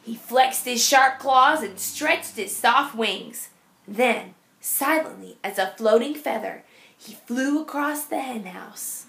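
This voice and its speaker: A woman reading a picture book aloud to children as a teacher, expressive and emphatic. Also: a little high, slow, gravelly.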